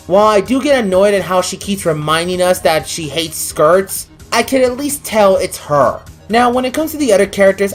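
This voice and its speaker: A woman delivering a scripted video review in a blunt, exasperated, opinionated manner. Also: mid-range voice. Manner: blunt, exasperated, freely swearing. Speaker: online anime reviewer. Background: music.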